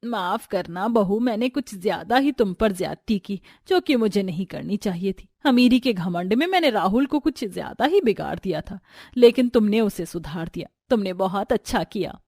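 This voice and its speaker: A woman's voice: mid-range voice, expressive, normal speed, darkish tone, no echo, studio quality. A woman voicing a rich, proud older mother-in-law who dotes on her son in a family story. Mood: apologetic.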